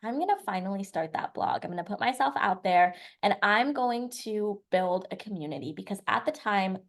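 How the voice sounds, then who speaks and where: A woman's voice: mid-range voice, moderate pace. A woman in her twenties from the United States, a podcast guest telling her life story in a chatty, run-on way. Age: 20s. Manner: chatty, lively storytelling with long run-on sentences. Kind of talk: casual storytelling interview.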